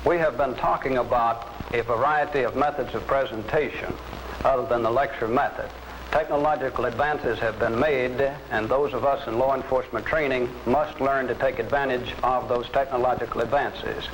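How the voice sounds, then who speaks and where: A man's voice: mid-range voice, steady tone, moderate pace. A man giving a formal classroom presentation as an instructor. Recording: faintly noisy old film soundtrack.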